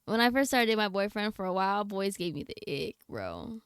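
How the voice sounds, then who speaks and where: A woman's voice: medium pitch, very animated, a little fast, clean tone, no echo, studio quality. A young adult woman livestreamer chatting casually with her viewers in a slangy, blunt, candid way. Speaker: game livestreamer.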